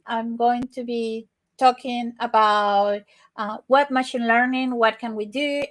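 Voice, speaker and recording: A woman's voice: on the high side, a bit bright. A woman from Venezuela with a Venezuelan Spanish accent, giving an informal tech workshop presentation at an online conference.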